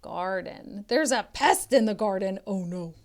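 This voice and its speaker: A woman's voice: medium pitch, lively intonation, unhurried, darkish tone. A woman tutor reading a picture book aloud to children, expressively and instructively, drawing out some words.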